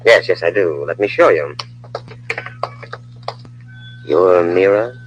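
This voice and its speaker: A man playing a tough, wry American Secret Service agent with a husky voice, in old-time radio style on a vintage recording. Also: sits low, moderate pace, highs cut off, soft background music, natural voice. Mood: businesslike, low.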